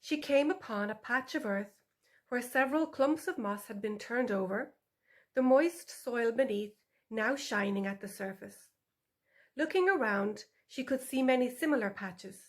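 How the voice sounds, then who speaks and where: A woman's voice: fairly high pitch, a little slow. A woman author reading her animal story for older children as scripted narration.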